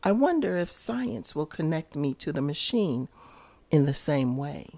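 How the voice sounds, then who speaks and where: A woman playing a supportive, hopeful wife, musing to herself in measured phrases in an audio drama. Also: deep voice, big pitch swings, creaky, mellow, full character voice. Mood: hopeful, pensive. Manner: reflective, measured. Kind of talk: audio drama inner monologue.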